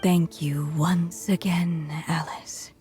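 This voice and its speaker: A woman playing a gentle, solemn, dying storyteller in a video game, speaking tenderly and poetically like a mother. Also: on the low side, steady tone, takes it slowly. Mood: weary, tender. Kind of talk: dramatic video game voice acting. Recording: loud music under the voice. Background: music.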